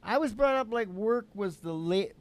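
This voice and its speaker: A man's voice: high voice, expressive, moderate pace. An older man hosting a casual, rambling chat in a folksy way, often repeating his phrases. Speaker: podcast host and musician. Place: small curtained stage studio indoors. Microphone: microphone on stand. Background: acoustic guitar strumming.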